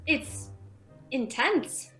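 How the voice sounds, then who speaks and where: A young woman playing a hesitant, appeasing college roommate in a dramatic reading, halting and placating. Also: high voice, lively intonation, fairly bright tone, soft background music, exaggerated voice. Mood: uneasy, placating.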